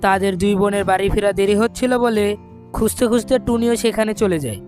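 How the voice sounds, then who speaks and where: Lady narrator reading a cartoon bird tale, medium pitch, faint music. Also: fairly quick, a little mellow. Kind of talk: scripted cartoon story narration.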